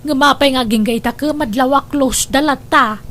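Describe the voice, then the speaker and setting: A woman's voice: sits high, moderate pace, a bit bright. A woman acting in a radio drama as a gossipy friend confiding in a worried mother.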